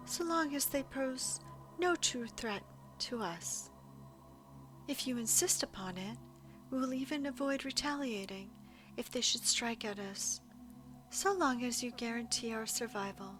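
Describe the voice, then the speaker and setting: An ancient alien hive queen, acted by a woman speaks in a fairly high voice, taking her time with every phrase. She is calm, regal and coaxing, with formal, measured delivery in an audio drama. Music plays clearly behind her. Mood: solemn, reassuring. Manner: slow, measured, formal, persuasive. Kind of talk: scripted audio roleplay monologue.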